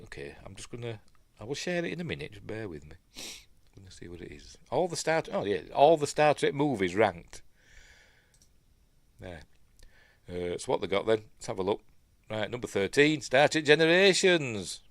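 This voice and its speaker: A man's voice: mid-range voice, very animated, normal speed, pauses often, dry recording. A man with a Yorkshire accent, sniffly from a stuffy nose, hosting a live news stream in a rambling, chatty way full of mumbled asides. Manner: chatty, self-interrupting asides and mumbled afterthoughts.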